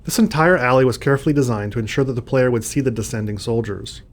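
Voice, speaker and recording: A man's voice: medium pitch, quick pace. A man giving scripted commentary as a game developer, explaining design choices.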